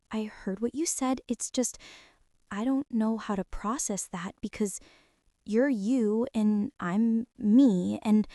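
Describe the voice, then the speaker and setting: A woman playing a shy, self-doubting teenage art girl in a girlfriend ASMR roleplay, speaking in a nervous, halting, rambling way. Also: on the high side, warm tone, dry recording, clean studio sound, close to an everyday voice.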